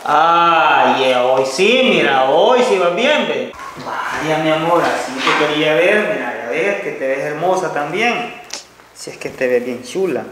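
A man's voice: fairly high pitch, expressive, unhurried, noisy background. A young man with a Salvadoran Spanish accent acting as a controlling husband in a home-made comedy sketch, scolding and then sweet-talking. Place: indoor kitchen. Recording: echoey tiled room.

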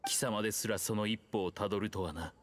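An actor voicing an ancient male earth dragon speaking through a man's body, solemn, archaic and measured. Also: fairly low pitch, fairly even intonation, noisy background, natural voice. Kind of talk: Japanese game dub.

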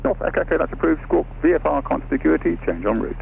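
Male air traffic controller, rapid procedural delivery with some fry, heard on a tinny aviation radio link. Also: a little high, big pitch swings. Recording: narrow crackly radio link, noisy.

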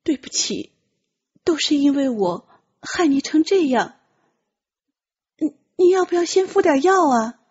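A woman voicing an anxious, kind-hearted young woman disguised as a eunuch, soft and apologetic in an audiobook drama. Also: high voice, animated, a little slow, long pauses, dull audio, pitched above the performer's own voice. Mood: worried, guilty. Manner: flustered, hesitant, pleading.

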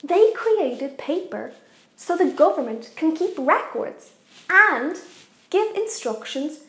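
A young woman hosting a kids' cooking show, presenting facts in an animated, deliberate way. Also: sits high. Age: young adult. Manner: animated, expressive, deliberate for kids. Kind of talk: children's educational presenting. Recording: echoey room.

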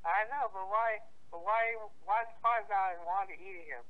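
A man with a high-pitched voice talks casually down a muffled, tinny phone line. He speaks haltingly and keeps repeating phrases insistently. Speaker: prank call recipient. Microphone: telephone.

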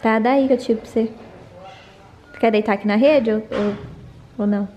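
High-voiced Brazilian woman vlogging casually. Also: steady tone, normal speed, mellow. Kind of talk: casual chat to camera. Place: at home, covered garage and kitchen. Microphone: handheld camera. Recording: faint background noise.

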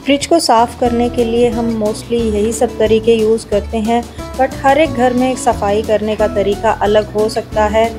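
A woman with an Indian accent giving a calm, step-by-step voice-over for a home cleaning video. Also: a little high, unhurried. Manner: explains each step in a steady how-to tone. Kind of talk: calm scripted voice-over narration. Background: background music.

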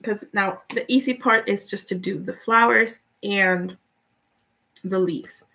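A woman's voice: medium pitch, slow pace, creaky, poor audio. Spanish-accented woman teaching live painting. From Guatemala. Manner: relaxed, chatty, laughs often, answers viewers' comments. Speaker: painting instructor.

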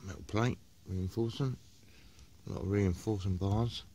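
A man's voice: lowish voice, fairly even intonation, normal speed, dark tone. A man casually describing his magnet fishing finds in short, laid-back fragments, recorded outdoors in a garden. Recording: noisy outdoor background.

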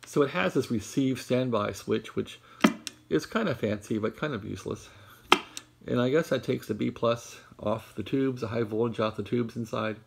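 American man, middle-aged or older, husky, creaky voice, casual rambling vlog, musing delivery. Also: medium pitch, moderate pace. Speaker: vlogger showing a vintage radio. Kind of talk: casual unscripted show-and-tell. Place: bedroom in a house. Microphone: handheld camera, close behind it.

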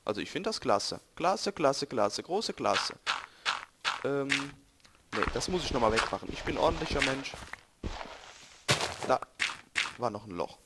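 A young man, a bit hoarse, rambling and joking to viewers while commentating his gameplay. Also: mid-range voice, very animated, measured pace, long pauses, heavy background noise. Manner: joking, rambling, self-correcting, talks to viewers. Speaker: let's play gamer.

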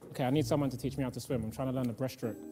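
Deadpan young man. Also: mid-range voice, rapid-fire. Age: 20s. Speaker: dating show contestant. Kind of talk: joking chat. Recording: loud music and crowd.

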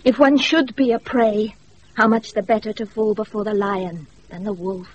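An actress playing a proud young countess in love, in a declamatory, ardent classical Shakespearean radio style. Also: female voice, medium pitch, steady tone, moderate pace, lightly acted. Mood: wistful, dignified. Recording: muffled, noisy off-air radio recording.

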